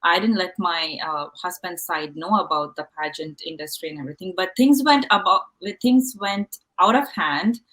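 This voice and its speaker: A woman's voice: lowish voice, normal speed, few pauses, a bit bright, rough recording. A woman from Kerala with an Indian accent, a native Malayalam speaker, chatting candidly and expressively as an interview guest. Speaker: talk show guest. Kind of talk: casual interview, telling personal stories.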